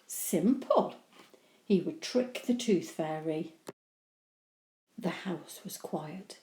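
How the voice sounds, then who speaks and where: A woman reading a children's story aloud with animated, deliberate, expressive narration. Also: on the low side. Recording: echoey room.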